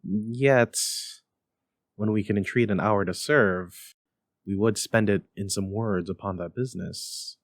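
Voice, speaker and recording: A man playing a haunted, brooding nobleman in an amateur Shakespearean dramatic reading, speaking with slow, deliberate intensity. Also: on the low side. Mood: guarded. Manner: slow, deliberate, intense, breathy whispers at times. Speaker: amateur performer in a library reading. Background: dramatic music.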